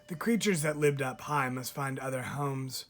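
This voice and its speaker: A man reads a picture book aloud for children in a measured, expressive storytelling style. He leaves deliberate pauses between phrases, like a teacher reading to pupils. Faint music plays behind his voice. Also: mid-range voice.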